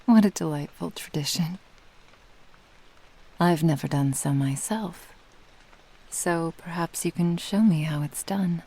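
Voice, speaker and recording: A woman playing a possessive, doting fox-spirit witch in an intimate ASMR roleplay, teasing in formal old-fashioned phrasing and often dropping into breathy whispers. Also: fairly low pitch, unhurried, long pauses, warm tone, rough recording. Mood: teasing, delighted. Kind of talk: ASMR roleplay, intimate scripted monologue to the listener.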